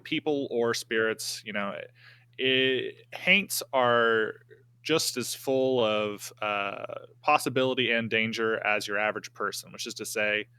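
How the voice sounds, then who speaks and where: A man playing a tabletop game, casually improvising his character's story in a halting, think-aloud way. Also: lowish voice, bright, forceful tone, clean tone, no room sound.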